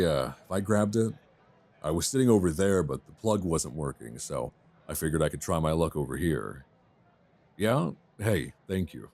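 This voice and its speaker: A man's voice: deep voice, a little mellow, heavily acted. A man playing a chatty, flirtatious, self-deprecating traveller in an intimate ASMR romance roleplay. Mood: friendly, wry. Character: friendly, flirtatious rough-and-tumble male traveller, a writer. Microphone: close binaural microphone.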